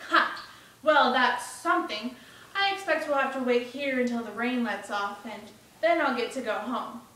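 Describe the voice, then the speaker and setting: A naive young boy played by a woman speaks in a high, emphatic voice. He is earnest and impatient, in a dramatic storytelling piece. The sound is very echoey and distant from the mic. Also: moderate pace, pushed and bright. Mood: cheerfully relieved. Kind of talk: dramatic storytelling for speech competition. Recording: echoey room, distant mic, faint noise.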